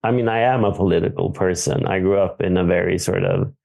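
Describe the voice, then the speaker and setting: A man from Sweden, a film director in a stage Q&A, speaking in a thoughtful, halting way with many fillers and restarts. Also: sits low, gravelly, darkish tone. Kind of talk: Q&A conversation on stage. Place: theater.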